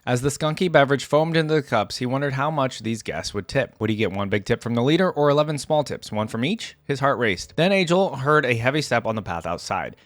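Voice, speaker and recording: A man's voice: medium pitch, fairly quick, few pauses, no echo. A man reading a story aloud expressively as a content creator, with casual reaction asides. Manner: expressive narration, acts out the characters.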